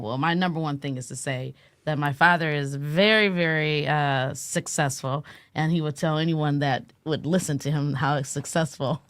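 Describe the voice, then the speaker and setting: A woman's voice: low voice, no room sound. A woman hosting a podcast, asking questions in a teasing, prompting way. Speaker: podcast host. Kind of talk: casual interview.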